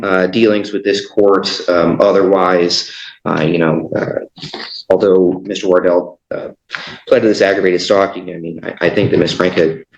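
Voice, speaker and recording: A man speaking as a defense attorney in court, arguing haltingly and hesitantly. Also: sits low. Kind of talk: argument in court. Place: echoey indoor courtroom. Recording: echoey room, muffled sound.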